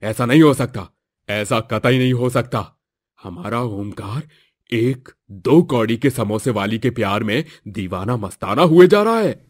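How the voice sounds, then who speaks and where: A man's voice: medium pitch, lively intonation, a little slow. A man voicing a domineering, scornful older village headman and father in a moral-story cartoon, with a scolding, haughty manner. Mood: shocked, scornful.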